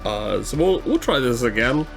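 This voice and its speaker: Male game player, average pitch, casual, hesitant talk, faint music behind. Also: very animated, normal speed, creaky. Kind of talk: casual chat. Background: background music.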